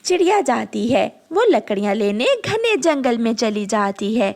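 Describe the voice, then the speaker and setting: High-pitched, animated woman storyteller. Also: measured pace. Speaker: narrator. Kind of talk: scripted children's story narration.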